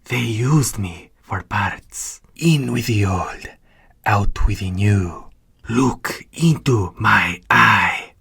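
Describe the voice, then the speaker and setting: A man voicing a broken, sinister, eerily playful old rabbit animatronic in a video game, with a husky, rasping voice. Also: lowish voice, lively intonation, very slow, pushed and bright, dry recording, clean studio sound, heavily acted. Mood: eerie, gloating. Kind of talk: video game character voice lines.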